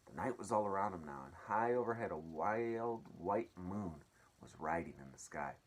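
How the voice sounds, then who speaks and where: Low voiced guy reading a kids book out loud, husky, expressive storytelling. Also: normal speed. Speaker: read-aloud storyteller.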